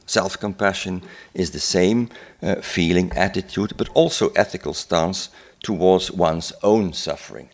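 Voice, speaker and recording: Deep-voiced older lecturer. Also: male voice. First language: Dutch. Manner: reflective, unhurried, with filler "eh?" asides and restarts. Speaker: psychiatrist giving a conference talk. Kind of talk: lecture at a symposium. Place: conference hall.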